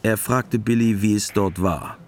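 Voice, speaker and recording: A man narrating a literary war story in a radio play, measured, detached and matter-of-fact. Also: lowish voice, slight background noise, lightly acted. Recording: music under the narration.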